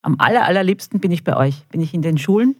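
A woman's voice: fairly low pitch, animated, fast talker, a little mellow, slightly rough, no room sound, clean studio sound. A middle-aged woman with an Austrian accent gives enthusiastic, colloquial interview answers to children as a school official. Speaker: school quality manager, interview guest.

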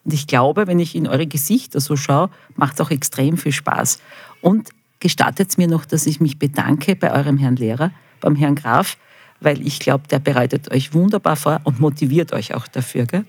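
A woman's voice: low voice, normal speed, darkish tone, husky, dry recording. A middle-aged woman with an Austrian accent gives enthusiastic, colloquial interview answers to children as a school official. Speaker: school quality manager, interview guest.

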